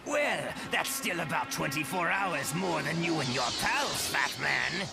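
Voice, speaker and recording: A man playing a taunting, menacing mutant in a motion comic, with a gravelly voice and mocking, insolent manner. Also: a little high, expressive, a little slow, loud music behind the voice. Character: taunting, menacing runaway mutant in a stolen body.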